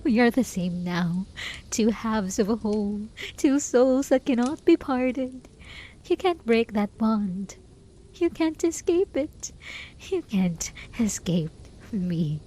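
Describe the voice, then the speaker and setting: Woman acting a soft, slow, obsessively lovesick hermit. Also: a little high, expressive, slight background noise, poor audio. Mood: intense whispering. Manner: soft, slow, intimate, often dropping into whispers. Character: lovesick, possessive, desperate hermit spellcaster. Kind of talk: ASMR roleplay, scripted dramatic monologue.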